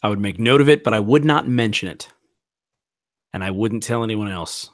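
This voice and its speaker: A man playing a tabletop role-playing game, narrating his character's actions in the first person with measured, deliberate phrasing. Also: fairly low pitch, no echo, clean studio sound.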